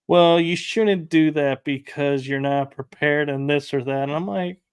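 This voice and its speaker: A man's voice: a little high, a little slow, smooth. A man hosting a casual interview, telling rambling anecdotes with light laughs. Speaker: podcast host.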